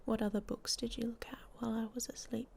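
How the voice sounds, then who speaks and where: A woman's voice: on the high side, level tone, quick pace, a little mellow, slight background noise. A woman playing a sardonic, guarded werewolf lover in an intimate ASMR romance roleplay, whispering close to the microphone in a dry, hesitant way. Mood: matter-of-fact, guarded. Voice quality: breathy whisper with creaky edges.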